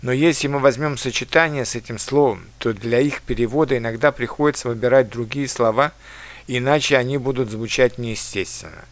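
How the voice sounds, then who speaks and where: Male language teacher, lecture delivery, measured, mid-pitched voice, dry close recording. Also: dry recording. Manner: measured, reads foreign phrases slowly and carefully.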